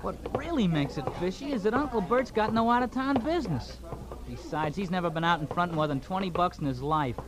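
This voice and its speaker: An actor playing an earnest, worried newsboy, hesitant and slangy, in a 1940s radio drama. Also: male voice, high voice, animated, fairly quick. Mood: anxious, earnest. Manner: hesitant, slangy, plain-spoken. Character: worried, earnest streetwise newsboy, polite to adults. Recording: vintage radio, crowd murmur behind.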